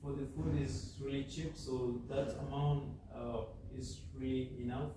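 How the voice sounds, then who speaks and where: A young male student answers interview questions hesitantly, with frequent fillers. His voice stays flat, and he sounds distant in a very echoey room with clear background noise. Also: medium pitch, a little slow. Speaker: guest student.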